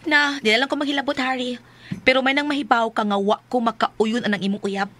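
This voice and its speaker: A woman's voice: a little high, fairly even intonation, a little fast, a bit bright, no echo. A woman acting as a snide, disapproving older sister in a radio drama, with a Cebuano Filipino accent. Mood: resentful.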